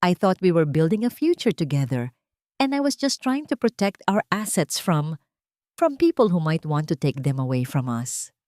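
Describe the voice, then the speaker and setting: A woman narrator plays a scheming, frightened mistress in an audio story. She pleads in a trembling voice that swings widely in pitch, recorded close and dry. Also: sing-song, normal speed, darkish tone, no room sound. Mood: pleading. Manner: trembling, begging.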